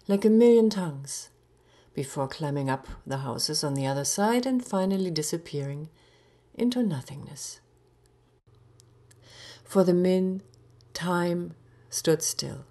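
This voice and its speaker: A woman reading her own short story aloud in a measured, expressive storytelling manner with deliberate pauses. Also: lowish voice. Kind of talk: literary short story narration.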